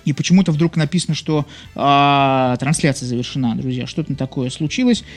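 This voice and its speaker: A man's voice: mid-range voice, fairly quick. A man from Leningrad hosting a live music stream, chatting casually with viewers, hesitant with many fillers and a slight burr on his r sounds. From Leningrad, Russia. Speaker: radio-style music show host.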